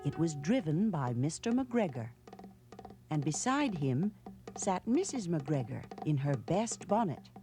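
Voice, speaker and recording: A woman reading a children's storybook in a measured, expressive storytelling manner. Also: low-pitched, over music. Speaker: storybook narrator. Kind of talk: scripted children's storybook narration.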